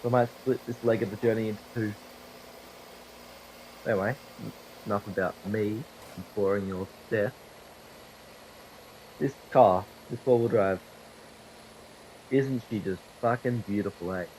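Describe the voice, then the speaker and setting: A low-pitched young man whose voice is hoarse and fraying from a cold. He rambles and swears through casual game commentary, mocking himself as he goes, into a desk mic with a faint hiss underneath. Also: fairly even intonation, unhurried, long pauses, a little mellow, rough recording. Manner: profane, rambling, self-deprecating. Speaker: gaming video creator. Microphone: desktop microphone.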